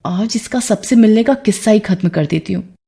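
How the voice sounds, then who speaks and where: Casting: haughty city college girl for a moral story, played by a woman, bossy, scornful, soft-edged mellow voice. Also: mid-range voice, normal speed, creaky, husky. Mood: annoyed. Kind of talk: dramatised Hindi moral story.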